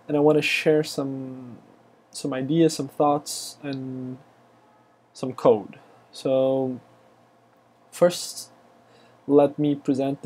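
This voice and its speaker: Brazilian-accented male developer, non-native English, screencast tutorial; slow, halting delivery, mellow tone. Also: mid-range voice. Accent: Brazilian Portuguese. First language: Portuguese. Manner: halting, many fillers and restarts. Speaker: web developer.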